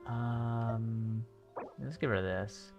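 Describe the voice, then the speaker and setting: Slow, drawling man streaming a game. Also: medium pitch, vocal fry, muffled recording. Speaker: game livestreamer. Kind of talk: casual live reaction to a video game. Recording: game music behind the voice.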